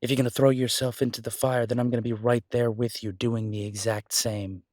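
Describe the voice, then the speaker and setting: A man playing a devoted, stubborn, protective werewolf detective in an intimate audio roleplay, speaking earnestly and insistently with emphatic pauses. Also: medium pitch, level tone, darkish tone, no room sound, clean studio sound, close to an everyday voice. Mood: fiercely determined. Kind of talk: dramatic roleplay audio acting, intimate one-sided dialogue.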